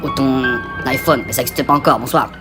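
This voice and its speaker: A man's voice: medium pitch, fairly even intonation, brisk, over loud music, lightly acted. A man voicing an older boy who bullies him at scouts, bossy and taunting. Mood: bullying.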